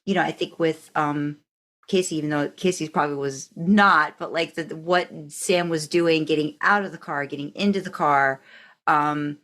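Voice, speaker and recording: Woman, low-pitched voice, podcast host, casual conversation, rambling and encouraging. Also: fairly even intonation, normal speed, fairly bright tone. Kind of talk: casual group discussion.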